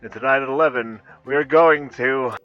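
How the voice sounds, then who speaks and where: A man playing a solemn local news anchor, reading a scripted bulletin in a formal, measured announcer's delivery. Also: mid-range voice, no echo, natural voice. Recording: dull lo-fi broadcast sound, music behind.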